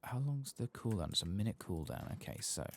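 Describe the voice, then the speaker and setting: A man quietly talking himself through a late-night game stream for ASMR listeners, hushed and casual, his low voice crackling with fry over faint background noise. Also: big pitch swings, fairly quick. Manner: hushed, casual, thinking aloud. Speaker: gaming video host. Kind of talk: soft-spoken ASMR game commentary.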